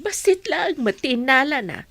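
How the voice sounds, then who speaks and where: A woman's voice: high-pitched, sing-song, a little slow, a bit bright, no echo, heavily acted. An actress playing a caring, principled village mother with a grown son in a radio drama. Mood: chiding. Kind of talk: Philippine radio drama acting.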